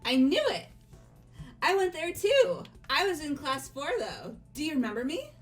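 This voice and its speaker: A woman voicing a sweet, sincere, gently teasing teenage girl in a video game. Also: high-pitched, big pitch swings, normal speed, soft background music. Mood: eager. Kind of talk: fan voice acting of visual novel dialogue.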